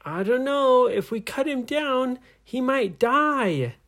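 A man's voice: a little high, expressive, extremely slow, pitched above the performer's own voice, heavily acted. A man voicing earnest, alarmed young adventurers in a children's story, exclaiming in a strained voice. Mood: exasperated, unsure.

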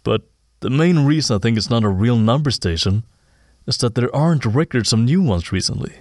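An actor playing a calm audio expert in an audio drama, talking in a hesitant, measured, thoughtful way. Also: male voice, medium pitch, no echo, studio quality, lightly acted. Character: calm male audio expert examining a mysterious recording. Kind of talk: naturalistic audio drama dialogue.

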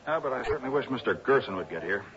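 A man's voice: medium pitch, expressive, racing, crowd noise, close to an everyday voice. An actor playing a fretful, obliging American insurance man in a 1960s radio drama, speaking in an earnest, hesitant, confiding way. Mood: confiding, disapproving. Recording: dull old radio broadcast.

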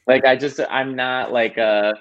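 A man as a podcast guest, talking casually in a halting, self-conscious way with many restarts. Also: mid-range voice, fairly even intonation, a bit bright, highs cut off. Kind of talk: casual interview chat.

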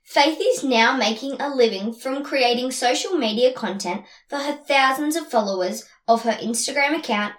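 A woman's voice: high voice, expressive, moderate pace, hardly any pauses. A woman co-hosting a podcast who reads a scripted introduction in an announcer-like way. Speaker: podcast co-host. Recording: echoey with music behind.

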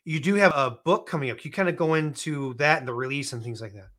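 A man interviewing a guest in a casual conversation, encouraging and quick to laugh along. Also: fairly high pitch, sharp tone.

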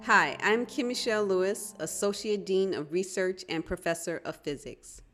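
A woman's voice: mid-range voice, moderate pace, fairly bright tone. Woman introducing a show over music. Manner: formal self-introduction. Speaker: podcast co-host, professor. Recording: music playing under the voice.

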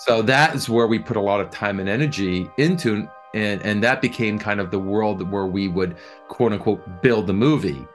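A man answering interview questions as a producer, speaking thoughtfully and conversationally, often halting and repeating words. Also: on the low side, faint music behind.

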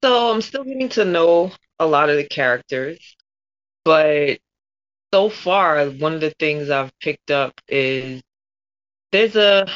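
A woman's voice: low-pitched, a bit bright. A woman answering interview questions in a casual, thoughtful way, rephrasing as she goes. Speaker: interview guest, voice actor. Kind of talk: casual reflective interview talk. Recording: dull, lo-fi sound.

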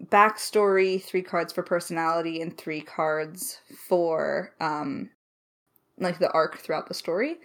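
A young adult woman talking as a writer on an online call, informal and enthusiastic, thinking aloud as she explains her creative process. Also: fairly low pitch. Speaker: lead writer of an audio drama. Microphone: recorded over Discord call.

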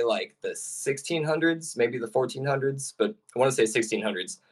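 Young man, low voice, relaxed, rambling, fillers, casual guest chat.

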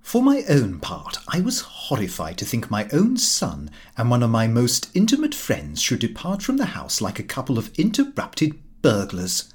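Expressive male narrator, lively intonation. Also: mid-range voice, moderate pace, few pauses, husky. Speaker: audiobook narrator. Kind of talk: scripted literary narration in diary form.

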